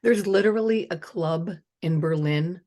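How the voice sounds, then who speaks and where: 50ish lady host, low voice, blunt and chatty, swears a lot. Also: normal speed, dark tone. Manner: chatty, blunt, swears freely, reels off lists. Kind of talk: casual chat.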